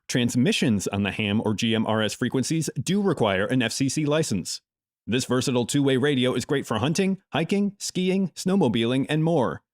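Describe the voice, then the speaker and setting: A man reading scripted product review narration in a measured, polished promotional way. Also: mid-range voice, no room sound, studio quality. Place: close, dry voice-over recording.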